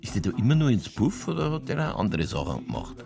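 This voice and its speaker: An actor playing a casual, persistent police detective in a radio drama, asking matter-of-fact questions with a Bavarian accent. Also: male voice, mid-range voice, sing-song, fast talker, creaky, over music. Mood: coaxing, persistent. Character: low-key male police detective, persistent and dryly casual. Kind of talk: naturalistic radio drama dialogue.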